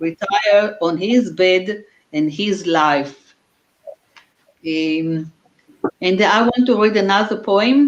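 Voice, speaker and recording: A woman poet reading her verses slowly and deliberately, with long pauses, at an online festival. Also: medium pitch, echoey room, muffled recording. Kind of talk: slow poetry recitation. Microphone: video call.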